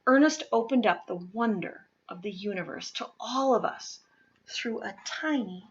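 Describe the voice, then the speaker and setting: A woman reading a children's nonfiction picture book aloud, with deliberate, emphatic phrasing for young listeners. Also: on the high side, animated, husky, muffled recording. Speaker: read-aloud reader.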